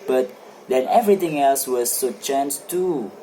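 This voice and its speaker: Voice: mid-range voice, unhurried, mellow, a little hiss or hum, natural voice, low-quality recording. An amateur reader playing a talkative, self-satisfied married man in a stage play, with eager, formal phrasing. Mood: earnest, explaining. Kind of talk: amateur dramatic play reading.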